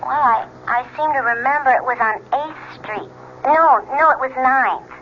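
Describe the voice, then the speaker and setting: A woman's voice: high-pitched, moderate pace, dull audio, a little hiss or hum, natural voice. Actress as a chatty, wry woman friend. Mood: concerned, chatty. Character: breezy, cautious woman friend of a wife. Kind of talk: 1970s radio drama acting.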